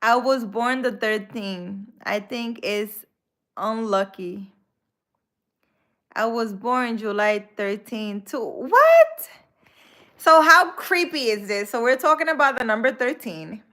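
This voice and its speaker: Unhurried woman chatting on a live stream. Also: a little high, big pitch swings, bright, forceful tone. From Dominican Republic. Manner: chatty, laughs often, drops in Spanish words. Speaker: live-stream host, spiritual healer. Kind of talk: casual live-stream chat with viewers.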